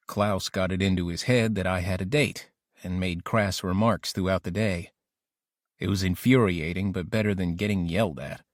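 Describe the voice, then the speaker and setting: Guy doing a moody lovesick teen boy reading his diary for an audiobook, lowish voice, intense, very dry sound. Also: dry recording, lightly acted. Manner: introspective, self-questioning, intense. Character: ambitious, lovesick, brooding teenage boy writing a diary. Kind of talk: audiobook narration of a first-person diary.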